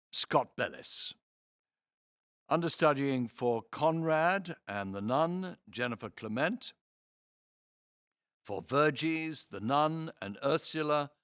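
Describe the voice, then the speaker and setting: A man reading a scripted theatre programme aloud in a theatrical, deliberate, carefully phrased manner. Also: mid-range voice, fairly bright tone, no echo, studio quality. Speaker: reader of an audio theatre programme. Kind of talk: scripted read-aloud narration of programme notes and cast list.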